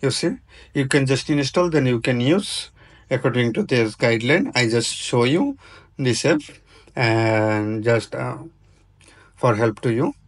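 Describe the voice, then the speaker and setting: A male tutorial host talks slowly through step-by-step instructions at a medium pitch. His non-native English comes in short, choppy phrases, with a halting, informal delivery. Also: lively intonation.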